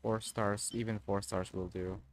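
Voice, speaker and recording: A man's voice: on the low side, little pitch movement, a little slow, a little hiss or hum. A man chatting casually as he streams a game, thinking aloud to himself. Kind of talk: casual muttering livestream gameplay chat.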